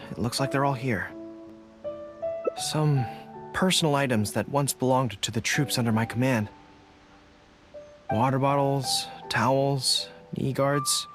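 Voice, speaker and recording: An actor playing an earnest, dutiful young army general in an anime video game, speaking formally and with resolve. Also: male voice, mid-range voice, close to an everyday voice. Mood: relieved, focused. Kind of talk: English-dubbed anime video game acting. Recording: game music behind the voice.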